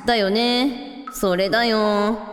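A woman's voice: mid-range voice, steady tone, slow, lightly acted. A woman playing a brash, casual older sister in a radio drama, with blunt, tomboyish phrasing. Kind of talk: Japanese radio drama acting. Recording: long reverb, music underneath.

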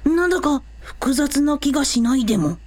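A woman's voice: high-pitched, animated, moderate pace, darkish tone. A woman playing a lordly but easily flustered young prince with a pompous, stilted manner in a Japanese audio drama.

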